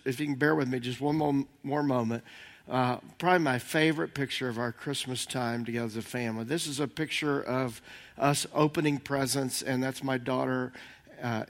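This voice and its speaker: Older pastor guy, kinda hoarse and gravelly, rambles a lot w lots of uhs, voice sits a bit high. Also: a bit bright. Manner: rambling, halting with many fillers. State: slightly hoarse. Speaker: senior minister. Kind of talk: conversational sermon.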